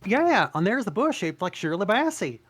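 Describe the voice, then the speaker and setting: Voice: medium pitch, very animated, moderate pace, a bit bright, husky. A reader voicing a chatty, cocky talking donkey in a table read, with a sassy, defensive manner.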